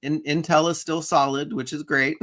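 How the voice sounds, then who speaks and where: A man's voice: on the high side, little pitch movement, moderate pace, fairly bright tone. A man co-hosting a toy news show in casual chat, easygoing and chatty, laughing along.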